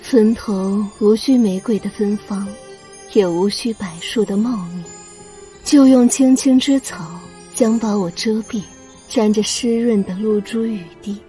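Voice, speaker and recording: A woman playing a solemn, mournful figure reciting verse in an animated drama. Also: mid-range voice, a little slow, soft background music, natural voice. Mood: solemn, wistful.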